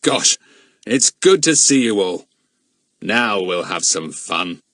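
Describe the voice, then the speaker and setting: Narrator doing a jolly bloke, teasing and fond, punchy and animated, storybook adventure. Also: male voice, on the low side, slow pace, no echo, exaggerated voice. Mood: jovial. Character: hearty, teasing, fond grown-up male friend. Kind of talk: audiobook character voice.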